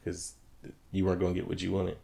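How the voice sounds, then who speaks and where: A man's voice: sits low, monotone, fast, vocal fry, dark tone. A man co-hosting a podcast in casual chat, often restarting and repeating himself. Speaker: podcast co-host.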